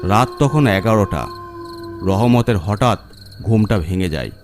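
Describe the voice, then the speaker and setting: Guy reading a spooky story, lowish voice, slow suspense, cricket ambience in the back. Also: animated, a little hiss or hum. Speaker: horror story narrator. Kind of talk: scripted dramatic horror narration.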